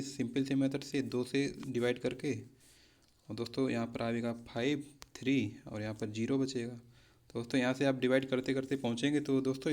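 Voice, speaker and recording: Man, medium pitch, exam tutor, explanatory, instructional, addressing students directly. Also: a little mellow. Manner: instructional, repeatedly checking key points. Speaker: exam coaching teacher. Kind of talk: explanatory quiz lesson, addressing students as friends.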